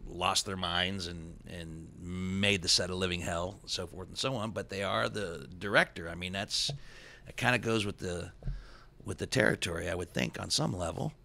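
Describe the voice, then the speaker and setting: A man hosting a casual podcast, with a low, gravelly voice. His tone is bright and forceful, and he drops wry, teasing remarks into the conversation. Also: steady tone, normal speed. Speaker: podcast host.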